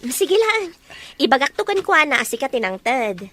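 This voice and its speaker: A woman's voice: high voice, very animated, normal speed, a bit bright. A woman playing a pushy, teasing young best friend in an audio drama. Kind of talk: Ilocano audio drama acting.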